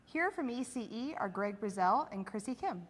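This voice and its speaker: A woman's voice: on the high side, lively intonation, normal speed. A woman co-hosting a college TV program with scripted presenting in a studio theater. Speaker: co-host of college TV show. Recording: echoey reverberant hall sound.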